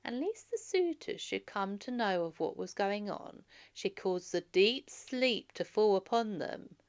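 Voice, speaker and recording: A woman's voice: medium pitch, expressive, unhurried. A woman reading a story aloud for children as a narrator. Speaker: storybook reader.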